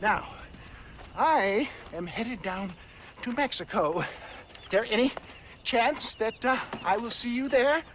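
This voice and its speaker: A man's voice: very high voice, animated, takes it slowly, pauses often, exaggerated voice. An actor voicing a theatrical, slippery snake oil salesman in a video game, florid and wheedling with stammered evasions. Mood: ingratiating. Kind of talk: video game cutscene acting. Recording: faint outdoor background noise.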